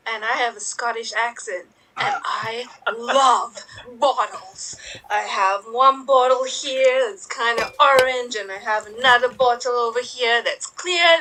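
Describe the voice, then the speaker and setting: A woman's voice: high-pitched, pushed and bright, distant mic, low-quality recording. A woman performing a comic improv impression, recounting details with careful wordplay.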